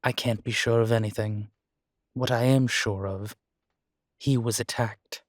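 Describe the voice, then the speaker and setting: A man playing a guilt-ridden, centuries-old vampire in an audio drama, speaking gravely and formally with weary, deliberate pauses. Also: medium pitch, fairly even intonation, no room sound, clean studio sound, close to an everyday voice. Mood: earnest, resolute. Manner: slow, grave, deliberate phrasing with long pauses. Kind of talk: dramatic audio roleplay acting.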